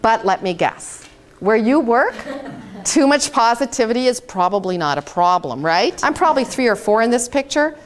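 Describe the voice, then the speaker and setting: A woman in her fifties from the United States giving a storytelling keynote speech in a conference room, animated and dramatic. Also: fairly high pitch, moderate pace. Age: 50s. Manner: animated, dramatic, gesturing on stage. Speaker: keynote speaker. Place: hotel conference room, indoors.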